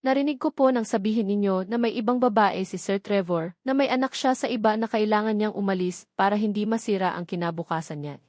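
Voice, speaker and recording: A woman's voice: mid-range voice, brisk, hardly any pauses, darkish tone, no room sound, clean studio sound, lightly acted. A woman voicing a humble, loyal former housemaid in a story, speaking in a respectful, earnest manner. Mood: resolute.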